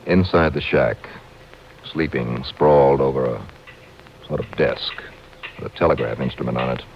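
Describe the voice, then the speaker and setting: An actor playing a tough American investigator, terse and commanding, in 1950s radio noir style. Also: male voice, extremely low pitch, normal speed, creaky, a little hiss or hum. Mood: grim, suspenseful. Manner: terse, urgent, hard-boiled. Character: tough, commanding male freelance insurance investigator. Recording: muffled old radio recording.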